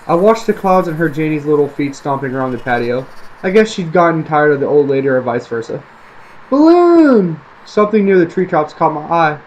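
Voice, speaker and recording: Man, fairly high voice, scary story narration, amateur storyteller, faint background noise. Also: highs cut off. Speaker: storyteller, online video creator. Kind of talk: scripted horror story narration.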